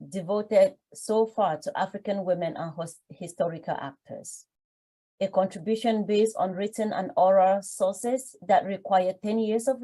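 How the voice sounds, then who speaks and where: A woman host with a mellow, darker tone reads an introduction at an even, mid-range pitch. She then interviews her guest, answering with affirming remarks. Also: rough recording. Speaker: podcast host.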